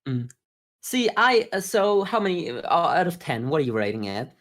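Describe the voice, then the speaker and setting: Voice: medium pitch, sing-song, moderate pace, fairly bright tone. A podcast co-host talking casually through a review, with informal, sweary phrasing.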